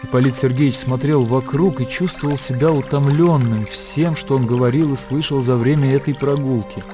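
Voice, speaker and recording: A man's voice: medium pitch, moderate pace, lightly acted. A man narrating a classic story in a Russian radio play, composed and literary in delivery. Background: music.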